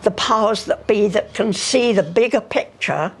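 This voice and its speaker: A woman's voice: mid-range voice, moderate pace, husky. An older woman speaking unscripted at a live talk, hesitant and often searching for her words. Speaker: speaker at a public conversation. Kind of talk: unscripted talk answering questions.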